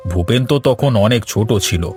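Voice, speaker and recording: A man's voice: on the low side, lively intonation, fairly quick, a little mellow, faint music behind, close to an everyday voice. Earnest village farmer played by a man. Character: poor village farmer, caring husband, father and son. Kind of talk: cartoon voice acting.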